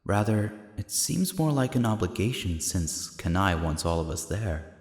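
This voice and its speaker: A man plays an aloof young detective with a lowish, lively voice and a dry, sardonic streak. It is an audio drama, soaked in long reverb. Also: measured pace, exaggerated voice. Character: wry, aloof young male private investigator. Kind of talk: fan audio drama acting. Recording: long reverb, faint music.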